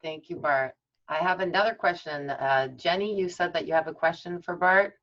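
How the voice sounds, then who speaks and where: A woman's voice: fairly low pitch, moderate pace, fairly bright tone. A woman hosting a group video call, informally passing questions along to a guest, heard over lo-fi call audio. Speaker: host moderating questions.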